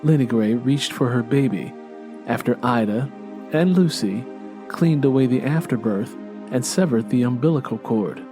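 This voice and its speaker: A man's voice: mid-range voice, moderate pace, music in the background. A man reading scripted fiction as an audiobook narrator.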